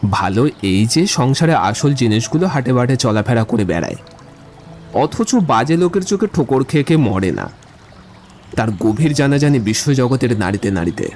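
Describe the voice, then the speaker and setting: A man's voice: medium pitch, sing-song, normal speed, a little mellow, soft background music. A man playing an eloquent young man in love in a literary audio drama, speaking in lyrical, wordy speeches. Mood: musing, ardent.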